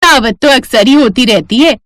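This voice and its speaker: A woman's voice: fairly high pitch, moderate pace, a bit bright, no room sound, clean studio sound, lightly acted. A woman voicing a chatty, boastful neighbour lady in a cartoon family story. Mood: pleased, boastful. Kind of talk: Hindi moral-story cartoon voice acting.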